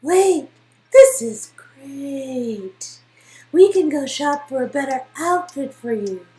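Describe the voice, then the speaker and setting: A woman's voice: high-pitched, takes it slowly. A woman voicing an awkward, earnest young tech specialist in a dramatic reading of a story game. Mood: excited. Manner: flustered, earnest, excitable.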